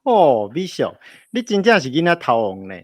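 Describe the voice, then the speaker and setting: A woman's voice: deep voice, very animated, moderate pace. A woman playing a boisterous, teasing grown-up in a family radio drama, exclaiming theatrically with a Taiwanese accent.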